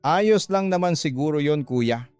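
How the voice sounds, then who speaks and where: A man's voice: fairly high pitch, expressive, a little slow, darkish tone, clean tone, dry recording. Man as younger brother, student, devoted, tender, curious, radio drama, dry sound, light music bed.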